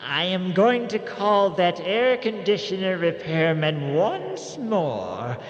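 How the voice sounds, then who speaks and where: An actor playing a creepy, genial old morgue attendant who tells horror tales on an old-time radio show, halting and fond of macabre puns. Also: male voice, sits high, sing-song, heavily acted. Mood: sly, genial. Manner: halting, chuckling, drawn-out macabre punning. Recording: dull, echoey radio recording with long reverb.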